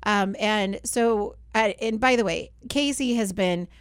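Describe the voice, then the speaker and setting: A woman hosting a radio talk show, her voice hoarse and raspy, chatting along and often checking in with a quick "right?". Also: medium pitch, no echo, studio quality. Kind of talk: casual radio talk chat. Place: radio station studio.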